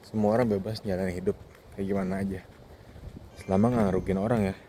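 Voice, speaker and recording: A man in his twenties with an Indonesian accent gives a candid, casual, reflective monologue as a vlogger, often pausing and slipping in English phrases, while walking outdoors. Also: low voice, level tone, dark tone. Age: 20s. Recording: outdoor wind and ambient noise.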